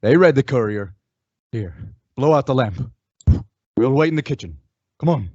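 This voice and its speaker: A calm, resolute Old West lawman, acted by a man at a middling pitch. He speaks in measured radio-drama style, on a dry and slightly dull recording. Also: dry recording, natural voice. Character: calm, determined male Old West sheriff. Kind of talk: old-time radio western acting. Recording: dull lo-fi audio.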